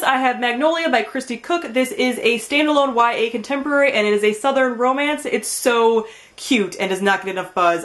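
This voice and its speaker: A woman's voice: fairly high pitch, fairly bright tone. A woman in her twenties talking casually and expressively to camera as a book vlogger, with emphatic enthusiasm. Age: 20s. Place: small indoor room with bookshelves.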